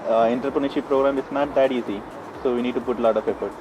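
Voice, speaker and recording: A young man, a student, recounting a team project in halting English. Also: medium pitch, steady tone, noisy background. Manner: halting, non-native phrasing. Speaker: master's student. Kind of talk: interview, recounting.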